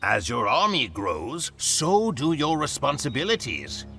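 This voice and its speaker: An actor playing a solemn military mentor, speaking in a measured, formal, instructive way for a strategy game. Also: male voice, mid-range voice, wildly swooping pitch, creaky, pushed and bright, faint music behind, full character voice. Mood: stern, instructive. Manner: measured, instructive, formal, dramatic pauses before sayings. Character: solemn male military mentor quoting ancient Chinese strategy. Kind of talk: scripted game narration.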